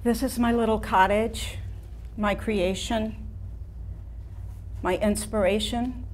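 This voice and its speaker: A woman's voice: medium pitch, fairly even intonation, lightly acted. A woman playing a wistful, ailing artist in her late seventies in a theatrical staged reading. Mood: wistful, sorrowful. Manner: slow, deliberate, poetic delivery with pauses. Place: room of a house, seated before an audience.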